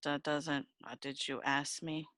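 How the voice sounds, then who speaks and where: A woman's voice: low-pitched, flat delivery, measured pace, fairly bright tone, no echo, studio quality. A woman in a casual group chat, telling stories in a slangy, sweary, exclamatory way. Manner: slangy, profane, exclamatory storytelling. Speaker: podcast co-host.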